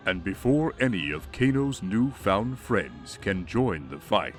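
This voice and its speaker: Low, slow dramatic male narrator. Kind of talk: dramatic scripted narration of a comic.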